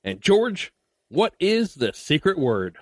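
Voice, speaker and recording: A man's voice: sits high, very animated, slow, no room sound. A man introducing his podcast in a scripted host's delivery. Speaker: podcast host.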